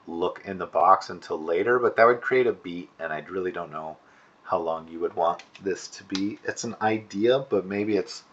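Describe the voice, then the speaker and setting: A man's voice: low voice, bright, forceful tone, muffled recording, a little hiss or hum. A man giving informal spoken feedback as an instructor, thinking aloud with hedges and restarts, recorded indoors at a computer. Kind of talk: informal spoken critique of student work.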